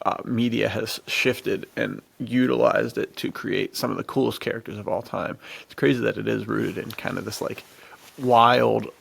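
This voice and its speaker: A man's voice: on the low side, steady tone, normal speed, vocal fry, fairly bright tone, husky. A man in a casual podcast discussion, chatty and amused, rambling along in slangy, informal phrases. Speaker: podcast co-host.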